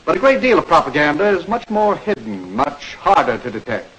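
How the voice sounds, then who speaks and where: An actor playing a patient campaign mentor, explaining and probing with questions in a 1940s educational film. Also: male voice, a little high, very animated, a little fast, a bit bright. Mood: instructive. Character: patient campaign speechwriter coaching a young learner. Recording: noisy old 1940s film soundtrack.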